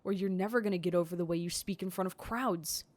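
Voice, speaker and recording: A woman's voice: fairly low pitch, quick pace, darkish tone, lightly acted. A woman voicing a grumpy, lazy young male cat in a cartoon comedy, blunt, practical and exasperated. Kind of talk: animated visual novel comedy dialogue. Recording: music behind the voice.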